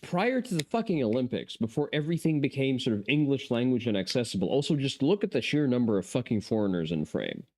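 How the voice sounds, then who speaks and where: A male streamer at a middle pitch talks over the videos he is reacting to. He rants in a casual, mocking way and swears freely. His voice sits right in the ordinary range for a man. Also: sing-song, quick pace, few pauses, darkish tone. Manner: profane, sarcastic ranting. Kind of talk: casual chat stream commentary.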